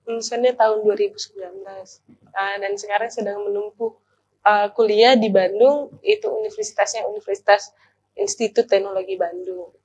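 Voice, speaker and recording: A young woman, an English teacher, answering questions in a casual chat, soft-spoken and easy to laugh. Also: mid-range voice, fairly even intonation, normal speed, muffled recording, slight background noise.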